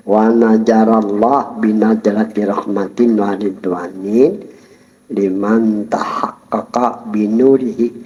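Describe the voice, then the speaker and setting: A man giving a Sufi study-circle lecture, explaining calmly and chuckling while he addresses his listeners. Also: lowish voice. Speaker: religious teacher. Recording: dull lo-fi recording.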